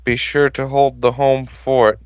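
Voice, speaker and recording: A man's voice: mid-range voice, flat delivery, a little slow, clean tone, no room sound, natural voice. A man voicing an earnest, heroic male cartoon cat in an amateur fan fiction reading, with stiff, solemn delivery.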